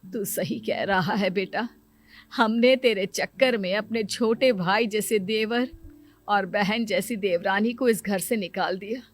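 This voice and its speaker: A woman voicing a scheming, possessive, sharp-tongued mother in a cartoon moral story. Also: mid-range voice, a little slow, voice pushed down, natural voice. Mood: remorseful. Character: scheming, possessive elder sister-in-law and mother.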